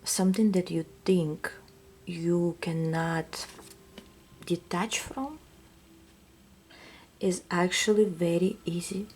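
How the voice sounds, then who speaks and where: A woman with a Russian accent, giving a soft, hesitant tarot reading that often drops to a whisper. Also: on the low side, slow pace, long pauses, husky. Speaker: tarot reader. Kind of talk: intuitive reading to viewers.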